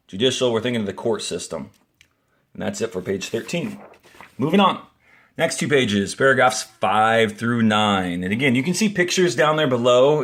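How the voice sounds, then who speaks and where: American man reading a lesson aloud. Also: mid-range voice. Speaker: elementary school teacher. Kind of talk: reading a textbook aloud as a lesson with brief asides.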